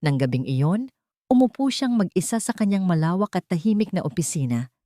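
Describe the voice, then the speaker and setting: A woman's voice: fairly low pitch, sing-song, brisk, mellow, dry recording, clean studio sound. A woman with a Filipino accent reading a scripted, dramatic romance story as its narrator.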